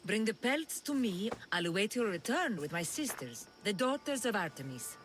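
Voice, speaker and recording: A woman playing a sly, commanding huntress in a video game cutscene, measured and teasing, with faint insects behind her. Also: mid-range voice, very animated, fairly bright tone, slight background noise. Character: sly, commanding huntress devoted to a Greek goddess.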